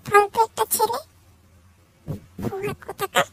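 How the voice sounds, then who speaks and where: A woman voicing a scheming, hot-tempered young gold-digger girlfriend with a squeaky voice in an amateur comedy cartoon. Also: fairly even intonation, a little slow, gravelly, exaggerated voice. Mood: scornful. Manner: gushing sweet talk or sharp scolding.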